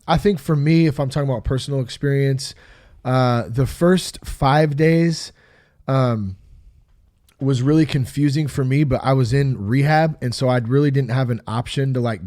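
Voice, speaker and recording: A man's voice: medium pitch, moderate pace, a little mellow, no echo, studio quality. A man in his thirties hosting a podcast in casual chat, congested from a cold, talking in a laid-back, rambling way. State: congested, sick. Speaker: podcast host.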